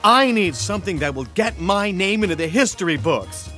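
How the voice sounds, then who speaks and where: Man playing a high-voiced, theatrical alien dancer-leader. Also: expressive, moderate pace, sharp tone, soft background music. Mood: scheming. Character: vain, excitable alien dancer turned figurehead planet leader. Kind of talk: comic sci-fi audio drama acting.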